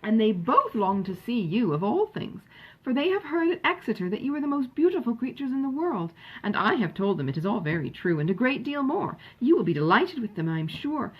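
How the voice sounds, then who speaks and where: A woman voicing a hearty, insistent older country gentleman in a storybook, coaxing with emphatic exclamations. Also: fairly high pitch, big pitch swings, fairly quick, breathy edge, highs cut off, voice pushed up. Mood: eager, coaxing. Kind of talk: acted dialogue in audiobook narration.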